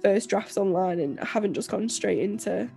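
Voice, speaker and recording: A young woman chats casually as a podcast co-host, her voice croaky and nasal from illness. There is a gravelly fry at the bottom of her voice. She rambles, restarting sentences and filling gaps with fillers, over faint background music. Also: medium pitch, moderate pace, dark tone. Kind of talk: casual chat.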